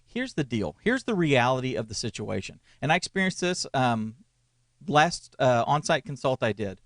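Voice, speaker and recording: A man's voice: mid-range voice, very animated, moderate pace, a bit bright, no room sound, clean studio sound. A man in his forties co-hosting a casual ministry chat, rambling and restarting his sentences with small chuckles. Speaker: co-host, church media consultant. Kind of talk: casual conversational podcast.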